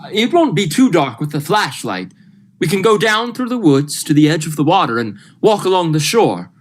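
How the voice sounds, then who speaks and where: A woman's voice: lowish voice, sing-song, moderate pace. A woman playing a steadying, practical doctor in a vintage radio thriller, speaking in an earnest, reassuring way.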